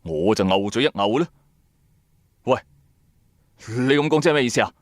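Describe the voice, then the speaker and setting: A man narrating a radio drama in the first person, colloquial, wry and expressive. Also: mid-range voice, normal speed, no room sound. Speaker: storyteller, narrator.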